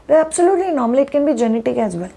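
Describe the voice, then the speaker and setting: A woman with an Indian English accent and a slightly hoarse voice, explaining things to parents in an earnest, reassuring way, asking questions and answering them herself. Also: sits high, very animated, racing, dark tone. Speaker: parenting educator.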